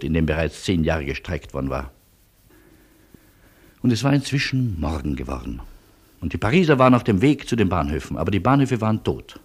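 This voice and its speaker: An actor playing a wry, anxious middle-aged refugee in a radio play, reasoning with deliberate irony and old-world courtesy. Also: male voice, rumbling low, darkish tone, slightly rough, heavily acted. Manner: deliberate, pointed, ironic reasoning. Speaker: lead character and narrator. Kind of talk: theatrical radio play acting and narration.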